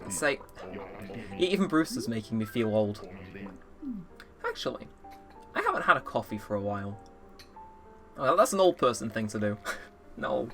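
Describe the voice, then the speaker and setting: Lively eighteen-year-old guy rambling over game music. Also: medium pitch, moderate pace, gravelly, long pauses. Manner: rambling, self-mocking, chatty. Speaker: let's play host. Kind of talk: casual let's play commentary. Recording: game music playing under the voice.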